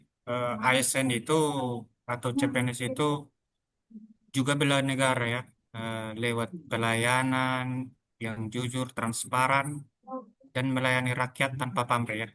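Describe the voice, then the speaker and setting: A man from Medan answers the host in short, earnest statements. His bright voice stays flat in pitch and sounds close and dry. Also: measured pace, long pauses, smooth, dry recording. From Medan, North Sumatra. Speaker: podcast guest, civil servant. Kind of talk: casual group podcast chat.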